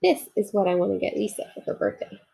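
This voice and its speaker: A woman's voice: medium pitch, lively intonation, fast talker, gravelly, warm tone, husky, full character voice. A woman voicing a small, earnest toy bear with a wondering, childlike manner in a storybook. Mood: eager, pleased.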